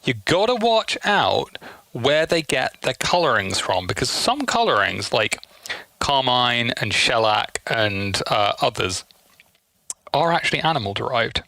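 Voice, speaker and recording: This is a man with a forward, bright voice, chatting as a livestream host. He swears freely and gushes at his audience, with theatrical exasperation in the way he talks. The sound is close and very dry. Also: mid-range voice, big pitch swings, measured pace, vocal fry, no echo, poor audio. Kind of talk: casual chatty livestream talk to chat.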